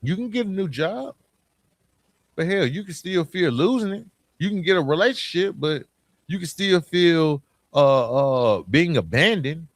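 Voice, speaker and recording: A man's voice: on the high side, animated, measured pace, no echo. An American man hosting a podcast, speaking in a casual motivational monologue, emphatic and colloquial, putting questions straight to the listener. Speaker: podcast host.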